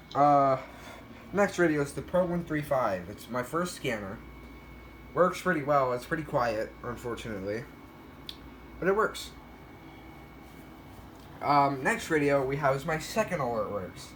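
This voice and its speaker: A man's voice: mid-range voice, animated, unhurried, pauses often, a bit bright, a little hiss or hum. A man talking casually and off the cuff as he shows items in a collection, a bit self-deprecating. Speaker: collector showing a weather radio collection. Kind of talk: casual unscripted show-and-tell.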